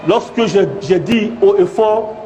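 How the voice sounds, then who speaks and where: A man's voice: sits high, measured pace, mellow, a little hiss or hum, poor audio. A man giving emphatic, impassioned personal testimony in a declarative way.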